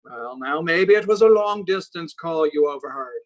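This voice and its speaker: An actor playing a weary, dismissive city police sergeant in 1940s radio drama style, placating and patronizing. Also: male voice, on the high side, expressive, normal speed, close to an everyday voice. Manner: hesitant, placating, then brusquely patronizing.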